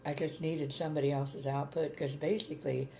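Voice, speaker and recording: An older woman with a gravelly voice, chatting plainly about her life in an interview, with many ums. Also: deep voice, little pitch movement, fairly quick, mellow, a little hiss or hum. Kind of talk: casual reminiscing chat.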